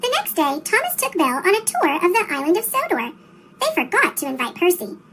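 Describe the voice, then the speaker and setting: A woman's voice: extremely high pitch, huge pitch swings, a little fast, noisy background. A woman reading a children's story aloud, her voice turned into a sped-up, childlike chipmunk sound. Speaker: storybook reader.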